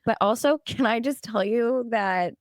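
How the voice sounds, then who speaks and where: Podcast co-host, woman, late thirties, hoarse and gravelly, dry close sound. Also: on the high side, unhurried, no room sound. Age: 30s. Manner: laughs often, playful storytelling. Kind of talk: casual chat.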